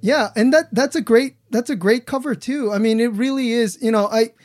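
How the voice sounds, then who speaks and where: A man's voice: very high voice, normal speed, faint music behind. A man hosting a casual studio interview, praising his guests and often restarting his phrases. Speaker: interview host.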